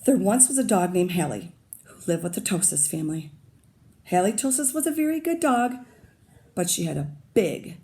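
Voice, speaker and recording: A woman teacher reads a picture book aloud to young students. She stops often to comment and to ask the children questions. Her voice sits low and mellow, with a gravelly fry, and a faint hum of room noise stays under it. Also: big pitch swings, moderate pace.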